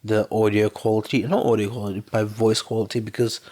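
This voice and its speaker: A man with a hoarse, sore voice reading fan fiction aloud as a podfic narrator, then chatting casually with his listeners. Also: on the low side, brisk. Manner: plain, steady reading with a strained, sore voice. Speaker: podfic reader.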